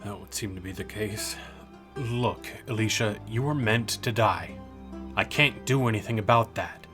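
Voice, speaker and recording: Grim Reaper, male actor: fairly low voice, detached and terse, quietly protective, audio drama with clear music underneath. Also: moderate pace, natural voice. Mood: subdued. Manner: terse, detached, matter-of-fact. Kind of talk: scripted audio drama acting.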